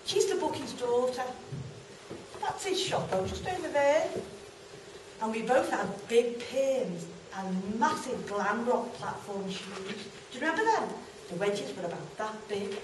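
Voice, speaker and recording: A woman's voice: on the high side, animated, measured pace. A woman playing a chatty, nostalgic working-class northern English woman in an amateur stage monologue, asking the listeners questions. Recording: roomy, distant mic, noisy live hall.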